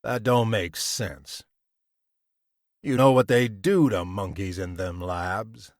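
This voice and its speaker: A man voicing a greedy, scheming rough laborer who talks in slangy, coaxing threats. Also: on the low side, slow pace, dry recording, clean studio sound.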